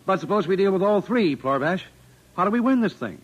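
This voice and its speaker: Cocky, higher-voiced Earth engineer. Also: male voice, big pitch swings, fairly quick, dull audio. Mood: combative, confident. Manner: emphatic, confident, punchy. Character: cocky, combative Earth engineer, pep-talking and brash. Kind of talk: 1950s radio drama acting. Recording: vintage radio drama recording.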